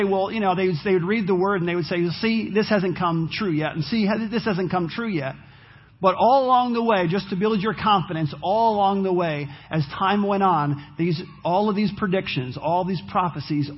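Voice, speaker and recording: Husky-voiced pastor losing his voice. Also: sits high, dull audio. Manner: earnest, repeats and restarts phrases. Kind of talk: sermon.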